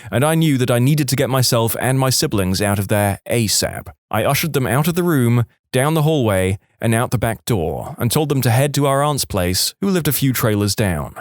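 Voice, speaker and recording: A man's voice: mid-range voice, no echo, studio quality. A man reading scripted online stories as a narrator, acting out the quoted speech.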